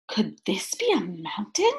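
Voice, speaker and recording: A woman voicing a small, curious toy bear in a storybook, with a breathy, innocent, wondering delivery. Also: mid-range voice, huge pitch swings, measured pace. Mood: hushed wonder.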